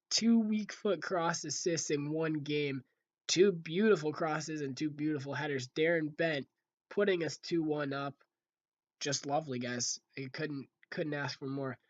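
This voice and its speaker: A young man with a hoarse, fading voice, chatting casually to viewers over football game footage from a room at home. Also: a little high, muffled recording. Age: young adult. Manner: chatty, talks straight to viewers as "guys". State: hoarse, losing his voice. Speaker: gaming video creator. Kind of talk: casual gameplay commentary.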